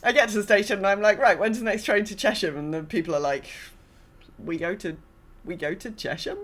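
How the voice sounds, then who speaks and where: A woman's voice: medium pitch, fairly quick. British woman chatting casually. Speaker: podcast co-host. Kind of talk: casual chat, personal anecdotes.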